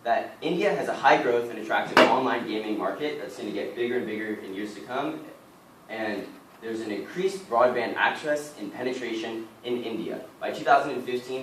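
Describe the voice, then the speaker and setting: A teenage boy presenting a business case in a steady, measured, rehearsed way. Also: on the low side, a little hiss or hum. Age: teens. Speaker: student presenter. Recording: roomy echo from far off.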